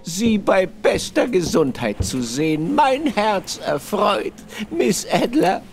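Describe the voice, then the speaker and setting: Measured aphoristic sage, very slow, very lively pitch, radio drama. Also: male voice, soft background music, full character voice.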